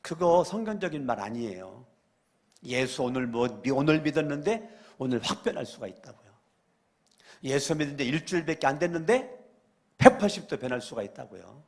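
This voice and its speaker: A male pastor preaching a church sermon, repeating phrases and asking the congregation questions, moving between loud exclamations and quiet asides. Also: mid-range voice, sing-song, fairly quick. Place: church sanctuary during a weekday service.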